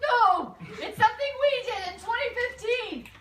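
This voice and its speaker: A woman's voice: extremely high pitch, big pitch swings, moderate pace, fairly bright tone, full character voice. A woman voicing an agitated, eccentric inventor in a comic puppet show, exclaiming theatrically. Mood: reassuring, upbeat. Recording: distant mic, echoey noisy room.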